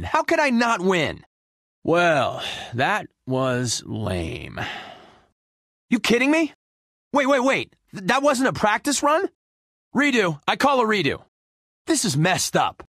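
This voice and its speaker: The wisecracking space rogue you would pick in a superhero video game, swaggering through slow, theatrical one-liners in a dry, close studio sound. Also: male voice, mid-range voice, wildly swooping pitch, a bit bright, no room sound, exaggerated voice. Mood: deflated, exasperated. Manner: brash, sarcastic, theatrical. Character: cocky, wisecracking, boyish male space adventurer hero. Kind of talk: video game character voice lines.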